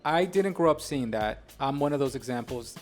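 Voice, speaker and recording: A man's voice: mid-range voice, normal speed. Laid-back man from New York. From New York, of Colombian family. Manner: relaxed, hesitant, many ums and restarts. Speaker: podcast co-host. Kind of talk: casual chat.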